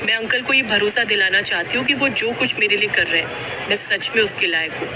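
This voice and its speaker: A woman's voice: medium pitch, fairly quick, over a noisy crowd, heavy background noise, lightly acted. A woman voicing a sincere, dutiful college girl from a poor family, in a cartoon story style. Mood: earnest. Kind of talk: Hindi cartoon story voice acting.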